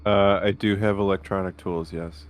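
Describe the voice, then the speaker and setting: A man's voice: sits low, little pitch movement, moderate pace, a little hiss or hum. A man taking part in a tabletop roleplaying game, talking casually. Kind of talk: casual chat.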